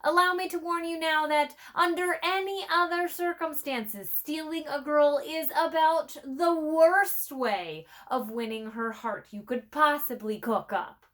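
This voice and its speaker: A woman's voice: high-pitched, unhurried, few pauses, fairly bright tone. A woman reading a fairy tale aloud as a storyteller, theatrical and playfully conspiratorial. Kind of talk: expressive scripted narration of a fairy tale.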